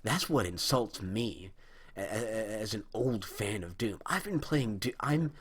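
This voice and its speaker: A man's voice: on the low side, animated, a little slow. A young man in his early twenties, giving a rambling, indignant monologue as a video host, with a dry, strained throat. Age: young adult. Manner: rambling, indignant, frequent restarts. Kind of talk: opinion monologue on video games.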